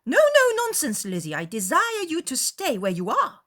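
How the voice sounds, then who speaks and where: A woman plays an excitable middle-aged mother from a period storybook. The voice jumps up high, with emphatic, gushing delivery. She is fussy, bossy and will not be argued with. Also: normal speed, heavily acted. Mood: exasperated, insistent. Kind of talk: dramatized period audiobook character acting.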